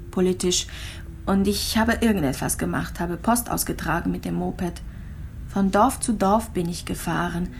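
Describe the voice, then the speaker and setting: Actress as sick woman in hospital, wistful, resigned, halting, radio play, faint music behind. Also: medium pitch, darkish tone, natural voice. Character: gravely ill female hospital patient, wistful, resigned. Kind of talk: radio drama acting.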